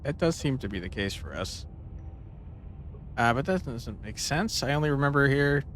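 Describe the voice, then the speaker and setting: A man's voice: medium pitch, expressive, normal speed, fairly bright tone, slight background noise. A man giving laid-back, casual playthrough commentary as a game streamer, with joking asides.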